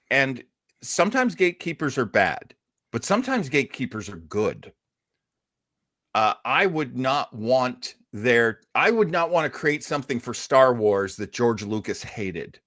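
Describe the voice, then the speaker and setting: A man's voice: medium pitch, a bit bright, no room sound, studio quality. A man hosting a casual talk show discussion, emphatic and given to repeating phrases to make his point. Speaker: podcast host.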